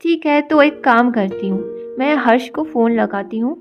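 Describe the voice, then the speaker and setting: A woman voicing a kind, caring elderly mother-in-law in an animated story, speaking slowly and soothingly. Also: a little high, muffled recording, lightly acted. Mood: gentle. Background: background music.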